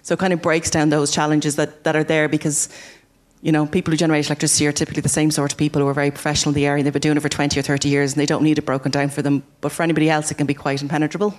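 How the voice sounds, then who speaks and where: Quick pace, flat delivery, explanatory, agency representative. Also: mid-range voice. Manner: brisk, explanatory. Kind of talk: explaining grants in an interview.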